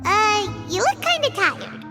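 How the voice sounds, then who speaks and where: A woman voicing a tiny, excitable, earnest girl fairy sidekick in an anime game, with a childlike way of talking. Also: very high voice, takes it slowly, over music, heavily acted. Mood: doubtful. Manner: refers to self in third person, childlike.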